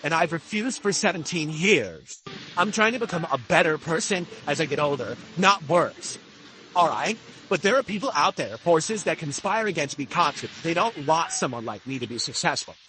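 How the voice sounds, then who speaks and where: A man's voice: on the high side, lively intonation, normal speed, sharp tone, slight background noise. A middle-aged man streaming from his home room into a desk microphone, talking casually and slipping into an angry, swearing rant. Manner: talkative, ranting, swears freely. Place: home room with window and fans. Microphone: desk microphone at normal distance.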